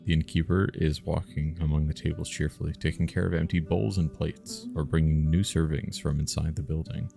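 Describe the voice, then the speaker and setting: A man's voice: rumbling low, little pitch movement, a little fast, creaky, few pauses, dark tone, faint music behind. A man reading a fantasy game's narration aloud on a live stream, mixed with casual banter.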